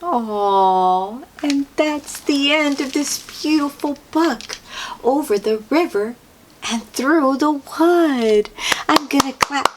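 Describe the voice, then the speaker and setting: A woman reading a picture book aloud to young children as host, in an expressive, sing-song way with questions and soft exclamations for the listener. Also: high voice, slow, background noise.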